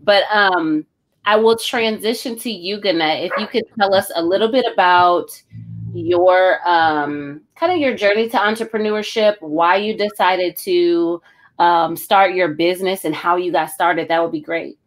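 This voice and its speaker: An American woman hosts a conversation over a video call. Her voice is a little low for a woman. She speaks in a conversational style as she guides the interview. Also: unhurried. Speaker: interview host. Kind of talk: conversational hosting. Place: indoor room, on a video call.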